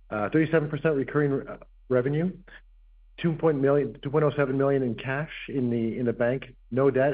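Hoarse male CEO, quick fact-packed investor pitch, tinny line. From Canada. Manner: brisk, fact-heavy, frequent restarts. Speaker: company CEO presenting. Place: conference session room.

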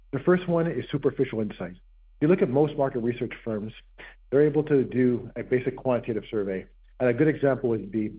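A hoarse man presenting his company as CEO at an investor session, brisk and packed with figures, restarting words as he goes. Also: medium pitch. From Canada. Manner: brisk, fact-heavy, frequent restarts. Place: conference session room. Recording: tinny phone-like line.

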